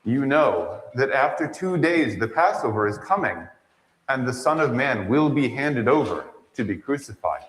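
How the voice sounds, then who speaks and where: Guy reading as a grave solemn teacher in a church passion play, measured delivery, big echoey reverb. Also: mid-range voice, big pitch swings, lightly acted. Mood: solemn foretelling. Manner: measured, declamatory. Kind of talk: dramatic scripture reading in church.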